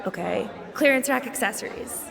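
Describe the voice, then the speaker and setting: A chatty teenage girl in a fantasy drama. Her fairly high voice crackles with fry as she talks casually and sensibly, reverberant against a clear crowd murmur. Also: normal speed. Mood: playful, dismissive. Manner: casual, peacemaking.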